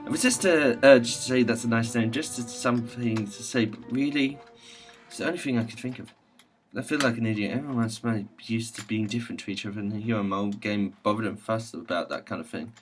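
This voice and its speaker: A man hosting a gaming video, reading visual novel text aloud with casual asides and halting stumbles over the words. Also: on the low side. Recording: music playing behind the voice.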